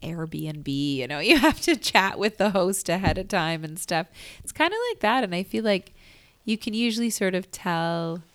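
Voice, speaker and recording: Casual woman interviewer, slightly low voice. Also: animated, normal speed, a bit bright, clean tone. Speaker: interviewer, radio show host.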